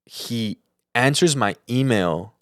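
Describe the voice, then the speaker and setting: A man's voice: mid-range voice, slow pace, dry recording, studio quality. A young man with an American accent telling a personal story casually on a podcast, acting out the conversations as he goes. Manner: animated retelling with acted-out quotes. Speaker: podcast co-host.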